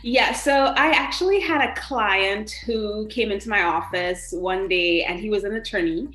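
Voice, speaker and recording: A woman's voice: mid-range voice, normal speed, a bit bright, soft background music. A woman giving conversational interview answers as a podcast guest in a roomy, echoing space. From Trinidad.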